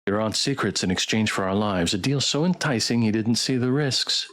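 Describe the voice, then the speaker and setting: Man playing Daniel, a measured, courteous young gentleman. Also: on the low side, natural voice. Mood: quietly satisfied. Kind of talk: character acting in visual novel readthrough.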